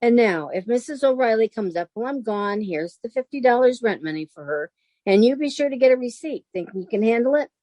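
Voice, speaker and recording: A woman plays a level-headed, long-suffering roommate in a vintage radio comedy. Her voice sits fairly high and sounds close and dry. She speaks with deliberate, wry patience. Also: lively intonation, darkish tone, no room sound, natural voice. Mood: patient, instructing. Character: sensible, exasperated woman roommate, wry narrator of the show. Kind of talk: old-time radio comedy acting.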